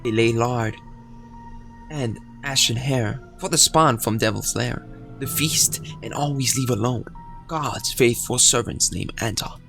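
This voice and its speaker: A man's voice: mid-range voice, unhurried, gravelly, fairly bright tone, faint music behind. Young man reading a horror story aloud. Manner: reads aloud with suspenseful emphasis. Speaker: gaming video creator. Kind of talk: dramatic reading of a horror visual novel.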